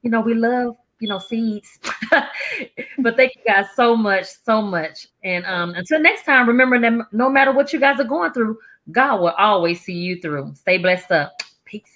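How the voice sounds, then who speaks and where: A woman's voice: a little high, expressive, normal speed, a bit bright. A woman hosting a casual, upbeat talk show, speaking in an effusive, encouraging way. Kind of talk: casual upbeat interview chat.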